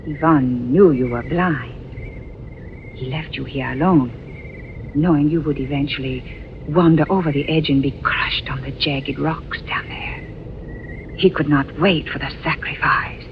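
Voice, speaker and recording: An actress playing an earnest, secretive young princess in a 1940s radio drama, speaking formal, stilted English. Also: female voice, low-pitched, fairly even intonation, a little slow. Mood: earnest, grave. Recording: dull, faintly noisy old radio recording.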